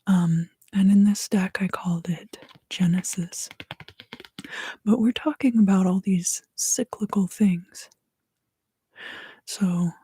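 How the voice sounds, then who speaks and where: A woman whispering a soothing ASMR tarot reading in a breathy, mostly unvoiced voice, taking her time. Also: mid-range voice, dark tone, dry recording. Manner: soothing, unhurried.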